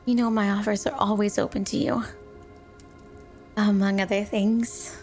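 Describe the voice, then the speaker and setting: A woman's voice: medium pitch, flat delivery, unhurried, darkish tone, soft background music, natural voice. A woman playing a flirty, doting, obsessive snow woman wife in an intimate ASMR roleplay, teasing and coy. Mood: flirty, suggestive.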